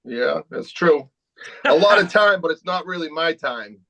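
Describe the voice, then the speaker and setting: A man's voice: a little high, expressive, a little slow, fairly bright tone, no room sound, low-quality recording. A man as a podcast guest, talking in a laid-back, easygoing way. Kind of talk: casual conversation.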